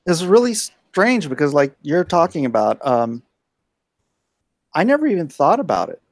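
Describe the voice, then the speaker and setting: A man's voice: on the high side, big pitch swings, moderate pace, no echo, clean studio sound. A man in his forties, born in Hawaii and raised in California, talking casually in a blunt, opinionated way. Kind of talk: casual chat.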